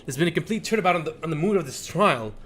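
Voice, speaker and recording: A man livestreaming a visual novel, mixing playthrough commentary with animated reading aloud. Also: fairly high pitch, racing, a little hiss or hum. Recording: music behind the voice.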